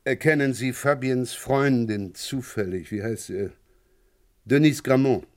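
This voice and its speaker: An actor playing a wily police inspector in a German radio crime play, probing with hesitant, feigned casualness. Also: male voice, mid-range voice, measured pace, close to an everyday voice. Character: wily male police inspector questioning a neighbour of a murder victim.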